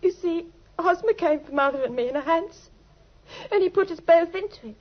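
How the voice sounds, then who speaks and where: A woman's voice: very high voice, expressive, a little fast. An actress in an old British radio drama playing a naive, trusting, lovelorn young woman who speaks earnestly and breathlessly. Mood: distraught. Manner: breathless, earnest, deferential, pours out her story. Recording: dull, lo-fi old radio recording.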